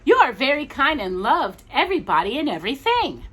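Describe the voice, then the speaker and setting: A woman's voice: high-pitched, big pitch swings, brisk, pushed and bright, pitched above the performer's own voice, full character voice. A woman voicing friendly, welcoming little toy dolls in a storybook, sweet and happy. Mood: admiring.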